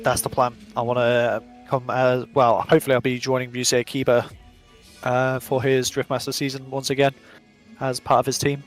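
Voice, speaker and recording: A man's voice: mid-range voice, little pitch movement, normal speed. A man with an English accent giving live, chatty commentary on virtual drift racing, occasionally stumbling over his words. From England. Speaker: esports commentator. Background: music.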